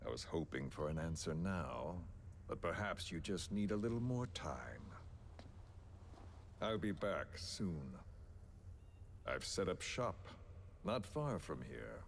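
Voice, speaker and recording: A menacing, philosophical game villain with a very low voice. He talks slowly and deliberately, condescending and theatrical as he coerces his captive. Faint noise sits behind the voice. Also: big pitch swings, creaky, heavily acted. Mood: smug, persuasive. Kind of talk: dramatic video game voice acting.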